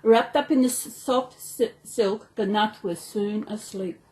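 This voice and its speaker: A woman with an Australian accent reads a children's story aloud in an animated way, stumbling now and then over words. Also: mid-range voice, unhurried. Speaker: storyteller, channel host.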